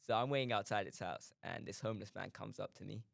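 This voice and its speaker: A man's voice: on the low side, fast, creaky, no room sound. A man from Pakistan, a guest in a radio studio, telling an odd anecdote in a hesitant way with lots of fillers. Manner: hesitant, lots of uh and um, laughs at himself.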